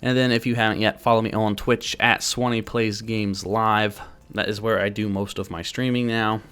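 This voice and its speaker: Male gaming news host, lower register, casual commentary for an online channel. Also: steady tone, normal speed, fairly bright tone, clear, resonant tone.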